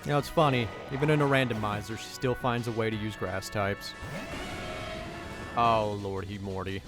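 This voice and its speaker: A young man hosting a let's play with casual commentary, his voice a little off from allergies, who swears and rants in sudden excited bursts. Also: on the low side, normal speed, over music. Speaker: gaming video host.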